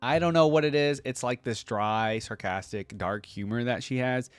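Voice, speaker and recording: A man's voice: mid-range voice, normal speed, clear, resonant tone, no room sound. A man hosting a reaction video, talking casually and off the cuff about what he hears.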